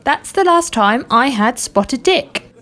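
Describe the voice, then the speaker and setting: A woman's voice: sits high, lively intonation, takes it slowly, fairly bright tone, full character voice. A woman playing a wistful, rather dim English village gossip in a comic radio drama.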